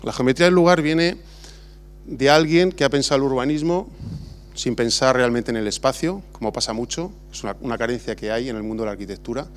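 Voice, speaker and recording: A man's voice: medium pitch, a little fast. Spanish man giving a rambling award speech. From Spain. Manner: rambling, many restarts and fillers. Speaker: architect giving an award acceptance talk. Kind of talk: informal speech at an awards ceremony. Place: large exhibition hall.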